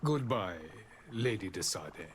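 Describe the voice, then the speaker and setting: A man's voice: on the low side, animated, slight background noise. An actor playing a gracious, grave older male ambassador in a video game, with formal, florid phrasing. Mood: grave, indignant. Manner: formal, florid, hesitant pauses. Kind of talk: scripted video game dialogue.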